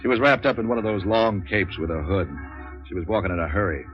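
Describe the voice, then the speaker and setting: An actor playing a cynical, wisecracking American private troubleshooter in a clipped, sardonic 1940s radio noir style. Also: male voice, fairly low pitch, quick pace, lightly acted. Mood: weary narration. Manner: clipped, sardonic, tough-talking. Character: hard-boiled, wisecracking male private troubleshooter, world-weary and cynical. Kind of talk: 1940s radio noir acting and first-person narration. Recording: dull 1940s radio recording with music.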